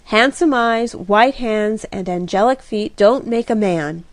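A woman's voice: mid-range voice, unhurried, no room sound, close to an everyday voice. A woman voicing a kind young man who soothes and teases lightly, in close to her own voice. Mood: earnest.

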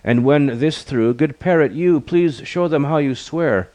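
A man's voice: fairly high pitch, unhurried, darkish tone, voice pushed up, close to an everyday voice. A man voicing a bossy female monkey hostess, chirpy and declamatory.

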